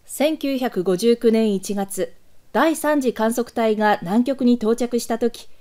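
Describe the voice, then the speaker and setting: A woman narrates a scripted news documentary. Her voice sits fairly high yet has a very mellow, dark timbre. The delivery is formal and measured, as a prepared read.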